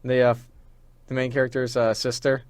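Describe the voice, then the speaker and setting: A young man talks casually as a podcast co-host. He rambles along like an eager fan. His voice has a mid pitch and is recorded dry, with little room sound. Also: monotone, brisk, a little mellow, no echo. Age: young adult. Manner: enthusiastic fan talk, rambling. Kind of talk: casual chat.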